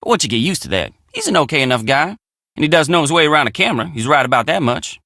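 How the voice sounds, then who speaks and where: A man's voice: fairly high pitch, very animated, fast talker, pushed and bright, no echo, studio quality, exaggerated voice. An actor playing a friendly, plainspoken young country handyman with an American drawl in a video game. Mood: wry, sincere. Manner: folksy, plainspoken country phrasing. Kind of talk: video game character dialogue.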